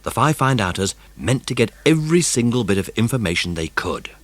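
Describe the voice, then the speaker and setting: A male narrator reads a scripted children's story. His voice sits at a medium pitch and is recorded very dry and close. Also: sing-song, a little fast, no room sound.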